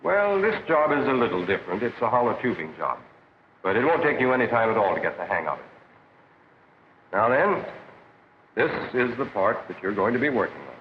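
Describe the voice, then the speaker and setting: An actor with an American accent playing a practical factory instructor who explains things methodically in a 1940s training film. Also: male voice, medium pitch, sing-song, a little fast, pauses often, natural voice. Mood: friendly, explaining. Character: plain-spoken, practical male factory instructor. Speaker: shop floor instructor. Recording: dull, hissy 1940s film soundtrack.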